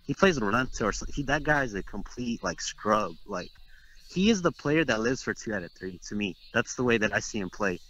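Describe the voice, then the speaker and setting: A man's voice: on the low side, big pitch swings, fairly quick, a bit bright, dull audio. A young man from Texas talking trash in a casual interview, boastful and rambling with lots of "like"s. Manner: brash, boastful, rambling with many "like"s. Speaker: interviewed competitive fighting game player.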